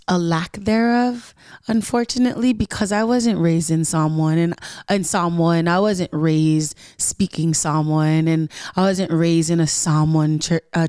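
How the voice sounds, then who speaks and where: A woman from Southern California, a musician guest on a podcast, talking earnestly and at length, often pausing on ums and rising into animated emphasis. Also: lowish voice, no room sound, clean studio sound. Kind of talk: casual podcast interview.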